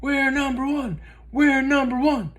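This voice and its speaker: A man's voice: very high-pitched, fairly even intonation, unhurried, fairly bright tone, voice pushed up. A man voicing a bossy, brash rich schoolgirl in a storybook, exclaiming emphatically. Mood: excited.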